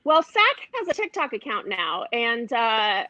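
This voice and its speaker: A woman's voice: on the high side, very animated, unhurried, highs cut off, over a noisy crowd. A woman from a comedy troupe in a casual video chat, chatty and self-mocking.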